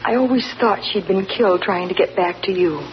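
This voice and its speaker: An actress playing an earnest, remorseful young country woman who speaks softly and stammers. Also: medium pitch, a little fast, close to an everyday voice. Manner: hesitant, stammering, soft-spoken. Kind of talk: 1940s radio acting. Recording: dull 1940s radio broadcast, faint hiss.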